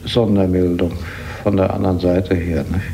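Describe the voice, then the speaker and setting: Deep gravelly man, echoey room. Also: flat delivery, moderate pace, dark tone, a little hiss or hum. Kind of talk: casual recollection. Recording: echoey room, faint music behind.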